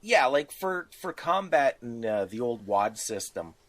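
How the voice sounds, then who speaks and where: A man's voice: medium pitch, sing-song, a little slow. A man in casual podcast conversation, explaining things in a methodical, matter-of-fact way as a host.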